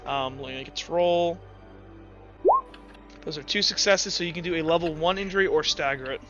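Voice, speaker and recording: A man's voice: on the high side, moderate pace, pushed and bright, lo-fi sound, soft background music. A man casually narrating a tabletop roleplaying game as game master, thinking aloud and correcting himself as he goes.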